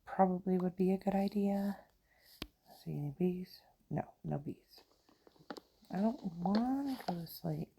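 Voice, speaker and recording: A woman's voice: lowish voice, a little slow, creaky, long pauses, mellow, a little hiss or hum. A middle-aged woman with a country accent, chatting and giggling to herself as she plays a game. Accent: Southern US country. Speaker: gamer. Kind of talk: casual gameplay commentary.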